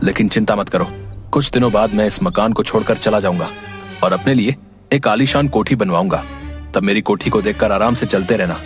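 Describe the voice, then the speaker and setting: A man's voice: on the low side, brisk, close to an everyday voice. A man playing a hot-tempered, boastful younger brother in a story. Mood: angry. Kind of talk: dramatized story acting. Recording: music under the voice.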